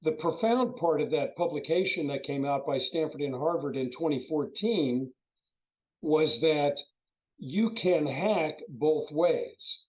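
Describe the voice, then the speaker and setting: This is a hoarse man in his seventies, speaking over a video call. His voice sits a little high for a man, and his delivery is folksy and expansive. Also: slow pace, darkish tone, rough recording. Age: 70s. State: hoarse from a cold. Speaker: guest speaker.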